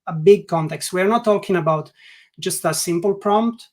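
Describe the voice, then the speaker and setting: A man's voice: high-pitched, normal speed. A man from Italy with an Italian accent, speaking English as a second language as a guest in a webinar interview, often restarting his sentences and filling gaps with "like". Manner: hesitant, many restarts and "like" fillers. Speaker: guest learning experience designer.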